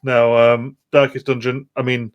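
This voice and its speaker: A man's voice: mid-range voice, flat delivery, a little slow, highs cut off. A man co-hosting a podcast, chatting casually about video games. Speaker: podcast co-host. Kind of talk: casual video game discussion.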